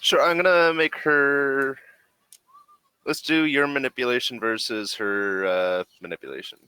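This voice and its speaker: A man's voice: mid-range voice, fairly even intonation, a little slow, pushed and bright, smooth, no room sound. A man running a tabletop game as game master, narrating casually.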